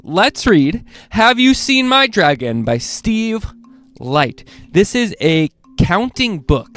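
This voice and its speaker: A man hosting a children's read-along, reading picture books aloud in an animated way and speaking directly to young listeners. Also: high-pitched.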